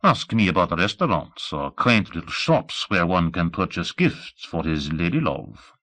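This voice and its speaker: An immense French hotel concierge, played by a male narrator, speaks with a French accent in a low-pitched storybook performance. He is pompous and florid, dignified and slyly flattering. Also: unhurried, no room sound, heavily acted.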